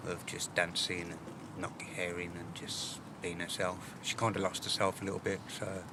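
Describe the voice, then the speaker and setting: Low-voiced man reflecting frankly over street noise. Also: level tone. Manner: frank, reflective, hesitant with long pauses. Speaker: poet. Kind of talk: candid personal talk about own poems.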